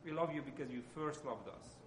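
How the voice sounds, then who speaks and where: A man with a Hungarian accent gives an informal sermon as a lay church speaker, often halting as he searches for words. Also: medium pitch, a little hiss or hum.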